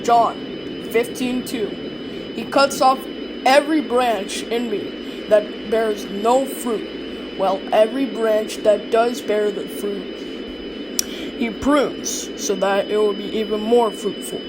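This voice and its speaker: A performer playing a grave President, giving a solemn, deliberate scripted emergency address about the end of the world. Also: fairly even intonation, natural voice. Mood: solemn, resigned. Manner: solemn, deliberate, repeating for emphasis. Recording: very loud noise, vehicle-like rumble.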